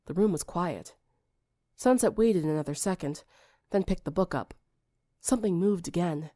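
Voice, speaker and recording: A woman reading a story aloud as an audiobook narrator, in an expressive scripted style. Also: on the low side, normal speed, dry recording, clean studio sound.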